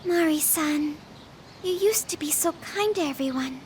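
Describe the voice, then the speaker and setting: High-voiced, slow, polite young woman. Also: a little hiss or hum. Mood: troubled. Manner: polite, gentle. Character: polite, soft-hearted young woman, earnest and reserved. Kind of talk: video game voice acting.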